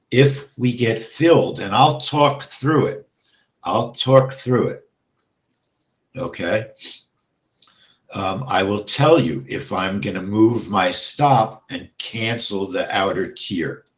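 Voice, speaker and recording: A man teaching a live trading session, explaining the setup step by step and checking in with "okay?", his voice going hoarse as he clears his throat. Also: fairly low pitch, takes it slowly, reverberant. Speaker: trading instructor.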